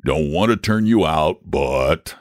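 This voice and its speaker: A man's voice: a bass voice, lively intonation, takes it slowly, no echo, clean studio sound, exaggerated voice. A man voicing a big, genteel, old-fashioned storybook dragon with a drawling, testy, fussily polite manner. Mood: confiding, breezy. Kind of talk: storybook character voice.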